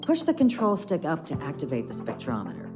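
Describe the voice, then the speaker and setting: A woman playing a patient scientist in a video game, giving measured instruction over music. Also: low-pitched, huge pitch swings. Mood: brisk, instructive. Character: busy, patient female scientist instructing a youngster. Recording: loud music behind the voice.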